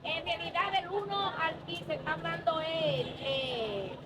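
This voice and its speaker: A woman's voice: very high voice, unhurried, over a noisy crowd. A woman with a Dominican accent debating scripture informally as a passerby, outdoors on a busy city street. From Dominican Republic. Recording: noisy city street, traffic and voices.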